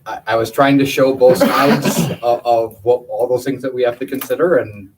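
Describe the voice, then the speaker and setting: A man's voice: medium pitch, steady tone, normal speed, darkish tone, slightly rough. A man giving a plainspoken, conversational report at a school board meeting in a meeting room. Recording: distant room mic with faint hiss.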